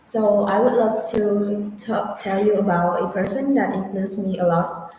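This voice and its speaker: Slow, hesitant woman candidate, echoey room. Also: mid-range voice, fairly even intonation, warm tone. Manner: hesitant, halting answers with many fillers. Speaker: test candidate. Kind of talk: practice speaking-test answers. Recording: echoey room with long reverb, faint noise.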